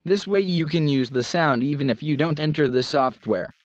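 A man's voice: on the high side, normal speed, no echo, muffled recording. A man reading a scripted product tutorial as the presenter.

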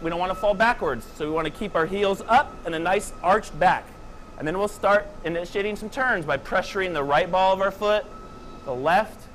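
High-voiced male snowboard instructor. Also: slight background noise. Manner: calm, coaching cues in short phrases. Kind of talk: step-by-step instructional demonstration. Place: indoor training slope under a roof.

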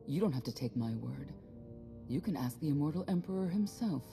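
A middle-aged woman playing a regretful, proud warrior mother in a video game, speaking in a measured, wistful way. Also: deep voice, lively intonation, creaky. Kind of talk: dramatic video game acting. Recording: music under the dialogue.

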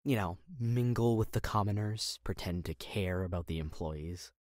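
A man's voice: low-pitched, moderate pace, darkish tone, no room sound, studio quality, lightly acted. A man acting a bossy, impatient heist crew leader in an audio drama. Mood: commanding.

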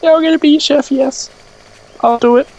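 A man's voice: extremely high pitch, steady tone, brisk, darkish tone, muffled recording. A young man with an Australian accent, chatting casually and joking while playing a co-op game. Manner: joking, laughs often. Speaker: gamer. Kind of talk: casual co-op gaming chat.

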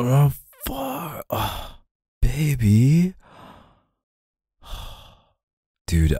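A young man playing an easygoing, tender boyfriend in an intimate roleplay audio, speaking softly and hesitantly in casual slang. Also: fairly low pitch, dry recording, clean studio sound, exaggerated voice. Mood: dismayed, regretful.

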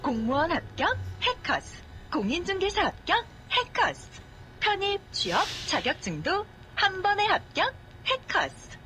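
A woman's voice: extremely high pitch, big pitch swings, unhurried, bright, forceful tone. A woman doing an upbeat advertising voice-over. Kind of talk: upbeat advertising jingle read. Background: faint music.

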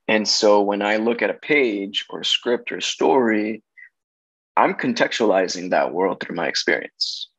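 A man's voice: fairly low pitch, vocal fry, no echo, poor audio. A man in his thirties from Los Angeles, a casting director talking reflectively on a video call from his home kitchen, gesturing as he checks in with "right?". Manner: reflective, animated hand gestures, frequent "right?" tags. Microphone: video call, laptop camera.

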